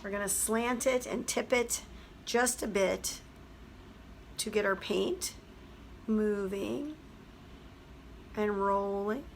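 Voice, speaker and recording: Hoarse woman teacher, slightly gravelly, chatty step-by-step craft demo. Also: mid-range voice, slow, pauses often, slight background noise. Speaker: craft tutorial host. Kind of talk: craft tutorial voice-over.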